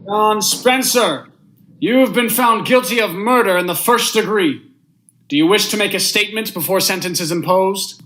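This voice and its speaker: A man's voice: high voice, moderate pace, fairly bright tone, slight background noise, rough recording. A young man acting a stern judge and narrator in a vintage radio drama, speaking formally and with measure. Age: young adult. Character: stern, unmoved male judge, husband and brooding narrator.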